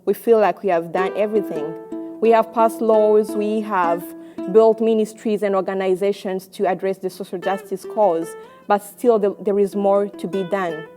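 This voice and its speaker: Mellow lady, earnest reflective speech, quiet guitar under. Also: medium pitch.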